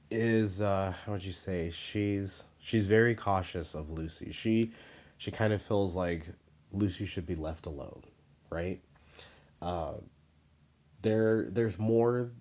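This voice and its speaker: Deep-voiced man, a bit scratchy from illness. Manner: relaxed and rambling, many fillers and restarts. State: slightly scratchy from being sick. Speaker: artist narrating his drawing process. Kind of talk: casual voice-over while drawing.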